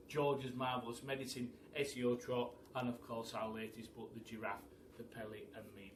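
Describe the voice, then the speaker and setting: A man giving an animated, theatrical storybook reading for children. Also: mid-range voice. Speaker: primary school storyteller. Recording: roomy echo, distant mic, faint noise.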